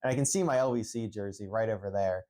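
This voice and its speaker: A young man from Central Pennsylvania with a fairly low voice talks off the cuff into his phone. He rambles, restarts sentences and leans on "um" and "like" as he goes. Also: fast talker, warm tone. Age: young adult. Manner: rambling, many restarts and fillers. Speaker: esports head coach. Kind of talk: unscripted vlog monologue.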